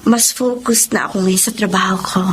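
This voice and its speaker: A woman's voice: medium pitch, steady tone, normal speed, slight background noise, natural voice. A Filipino woman acting in a radio drama as a guarded, hurting but polite younger woman. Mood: earnest, gentle.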